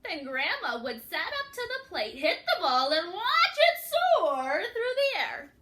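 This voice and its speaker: A woman's voice: extremely high pitch, sing-song, a little slow, sharp tone. A woman reading a picture book aloud to young children, expressively, with dramatic emphasis and questions put to the listeners. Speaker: children's read-aloud storyteller.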